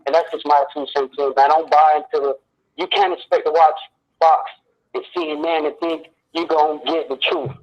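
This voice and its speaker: Male caller, earnest and preachy, slightly high, muffled phone line. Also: flat delivery, normal speed. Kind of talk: phone-in monologue.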